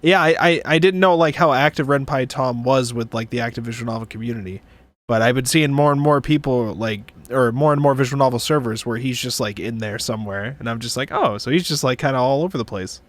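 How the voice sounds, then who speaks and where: Male livestream host, medium pitch, casual conversational delivery, informal and a bit sweary. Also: fairly quick. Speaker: streamer, host.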